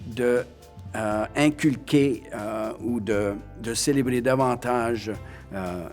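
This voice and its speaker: A man, speaking haltingly in a documentary interview. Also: medium pitch, a little mellow. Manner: halting, frequent fillers.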